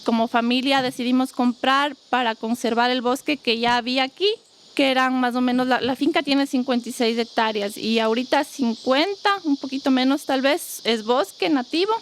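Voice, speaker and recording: A woman with a fairly high voice, speaking in a steady, thoughtful way, often linking her thoughts with little fillers. She is recorded on a rural farm, with faint crickets and insects chirping behind her. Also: normal speed, a little hiss or hum. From Ecuador. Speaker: guest, family farmer. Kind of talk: casual interview talk.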